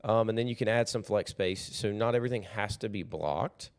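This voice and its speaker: Podcast host, male, very low pitch, hoarse and raspy, easygoing and rambling. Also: a little fast. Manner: easygoing, rambling, many fillers. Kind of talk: casual motivational talk.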